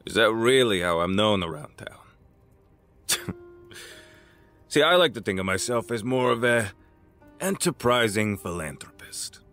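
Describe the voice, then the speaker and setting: An actor playing an older crime boss in an audio drama, patronizing and deliberate, with a smug, folksy menace. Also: male voice, fairly low pitch, animated, a bit bright, faint music behind. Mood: sly, needling.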